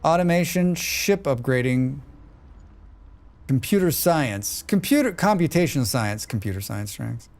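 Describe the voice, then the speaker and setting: A man's voice: medium pitch, lively intonation, unhurried, darkish tone. A man with a scratchy voice, muttering and thinking aloud as he streams a game. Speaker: streamer playing a demo. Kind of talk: live gameplay commentary.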